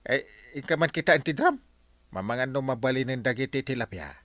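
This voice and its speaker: An actor playing a jolly, scheming village captain in a radio comedy drama, full of exclamations and chuckles. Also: male voice, mid-range voice, fairly quick, no echo, lightly acted. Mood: jovial. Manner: chuckling, animated, lots of exclamations. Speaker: barangay captain.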